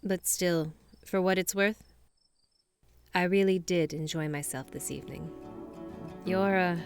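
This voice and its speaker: A woman playing a coolly calculating, haughty high-school girl in a dubbed comic drama, speaking in formal, wordy, condescending phrases. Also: steady tone, unhurried. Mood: soft, polite. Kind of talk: scripted audio comic drama dub. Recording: voice over background music.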